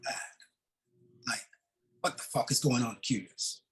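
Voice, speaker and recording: A man plays a terse, guarded grown son and henchman in a crime screenplay reading. His voice has a medium pitch and sits in an echoey room with faint background noise. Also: unhurried, lightly acted. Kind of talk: script table read.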